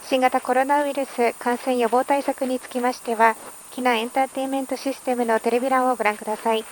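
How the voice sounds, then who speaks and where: A woman's voice: high-pitched, level tone, slight background noise, rough recording. A woman cabin crew member making a polite, formal inflight announcement over the cabin PA handset. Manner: polite, formal rapid delivery. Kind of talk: inflight public address announcement. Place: aircraft cabin.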